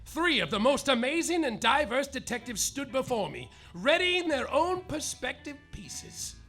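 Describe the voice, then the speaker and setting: A man narrating and acting a hardboiled detective in a live stage comedy, deadpan noir delivery with sudden yelled outbursts. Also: very high voice, measured pace, faint music behind. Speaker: lead performer in a live comedy play, as the detective.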